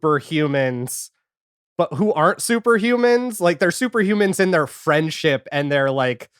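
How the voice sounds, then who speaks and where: A man's voice: fairly high pitch, animated, normal speed, a bit bright, clean tone, dry recording, clean studio sound. A man chatting casually as a podcast guest, enthusiastic and rambling, with lots of filler 'like'. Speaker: podcast guest, audio fiction creator. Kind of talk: casual chat.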